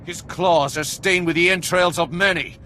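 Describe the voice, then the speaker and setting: High, sharp, vengeful alien warrior. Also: male voice, steady tone, moderate pace, slight background noise. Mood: menacing, outraged. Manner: declamatory, menacing. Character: fierce, vengeful male alien warrior, proud and bombastic. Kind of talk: video game cutscene dialogue.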